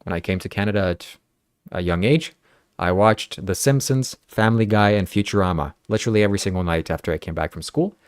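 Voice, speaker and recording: Ukrainian-born guy hosting a podcast, low voice, dry sound, reads out comments all thoughtful. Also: brisk, smooth, no room sound. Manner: earnest, reflective, reads viewer comments aloud. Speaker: podcast host. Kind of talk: casual livestream podcast chat.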